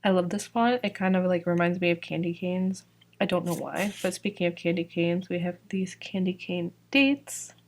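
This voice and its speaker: A woman's voice: on the low side, normal speed. A young woman from Georgia, chatty and full of "like" and "um," walking through her shop's new sticker releases, her voice slightly worn. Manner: chatty, fillers like "like" and "um". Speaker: sticker shop owner presenting new releases. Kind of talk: casual product walkthrough.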